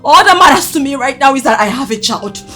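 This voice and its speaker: A woman's voice: high voice, lively intonation, fast talker, sharp tone. An actress playing an anguished, defiant grieving mother with a Nigerian accent in a film drama, pleading and repeating herself. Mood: grieving, insistent. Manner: pleading, repetitive, emotional. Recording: music playing behind the voice.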